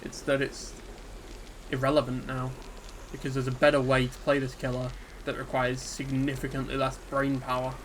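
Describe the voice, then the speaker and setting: Dejected man, flat voice. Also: medium pitch, normal speed, poor audio. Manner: subdued, dejected and hesitant, with frequent ums. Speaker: gaming video creator. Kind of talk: unscripted solo rant to camera. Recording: steady background ambience hiss.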